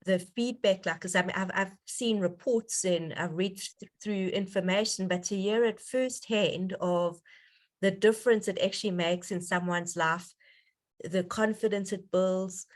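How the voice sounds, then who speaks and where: A woman's voice: medium pitch, fairly even intonation, a little slow. Female host for an online group call; effusive, enthusiastic, informal, mid-range voice. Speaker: host of a donor conference call. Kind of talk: casual, appreciative hosting talk. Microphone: online conference call.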